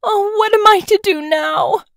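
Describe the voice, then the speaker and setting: A woman's voice: very high-pitched, measured pace, gravelly, fairly bright tone, no room sound, studio quality, pitched above the performer's own voice, full character voice. A woman voicing a spoiled, demanding young princess in a theatrical storybook manner. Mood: sobbing, wailing. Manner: huffy, wailing, theatrical.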